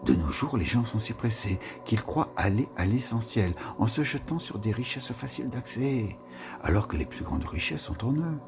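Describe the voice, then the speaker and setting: A man giving a slow, musing reading of a literary text, with pauses and whispered asides. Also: mid-range voice, big pitch swings, creaky. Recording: reading with music behind. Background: music.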